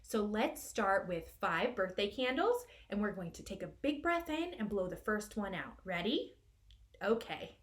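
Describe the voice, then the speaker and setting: A woman's voice: medium pitch, sing-song, unhurried. A woman hosting a storytime for young children, explaining things simply and guiding them as she goes. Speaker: library storytime host and reader. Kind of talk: children's storytime read-aloud.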